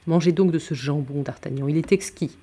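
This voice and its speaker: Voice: mid-range voice, fairly quick, dark tone, husky, close to an everyday voice. Un homme qui joue un mousquetaire sombre, amer et sardonique. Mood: careless, cynical. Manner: sardonic, worldly, abrupt. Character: Athos, sombre, bitter, hard-drinking nobleman musketeer telling dark tale.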